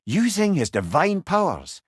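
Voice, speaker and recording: A man's voice: sits high, wildly swooping pitch, a little slow, husky, no room sound, studio quality, heavily acted. An actor playing a wise, witty old Scottish man, an avuncular storytelling companion in a video game, speaking in an articulate, wry way. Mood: informative. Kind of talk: scripted video game voice lines.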